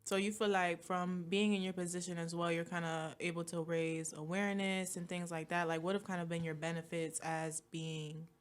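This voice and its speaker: A young woman hosting a college radio interview, chatty and expressive, using casual slang. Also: fairly low pitch, moderate pace, few pauses, clean tone. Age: young adult.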